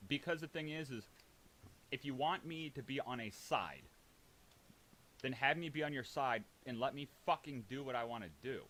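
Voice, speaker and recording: A man's voice: mid-range voice, moderate pace, a bit bright. A man in a heated voice-chat argument, blunt, defensive and swearing, on a hissy recording.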